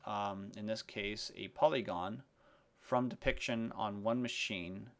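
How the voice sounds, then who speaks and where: Male tutorial presenter, lowish pitch with heavy vocal fry, unscripted and halting, walking through each step of a software demo. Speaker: software demonstrator. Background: keyboard typing and mouse clicks.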